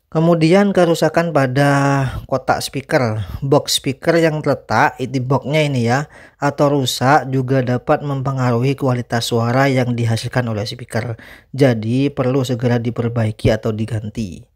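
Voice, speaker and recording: A man's voice: mid-range voice, darkish tone. Man giving an informal explainer. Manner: instructive, repeats points with tag phrases. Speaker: presenter of an audio tutorial channel. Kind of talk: informal explainer, part reading aloud, part ad-lib commentary.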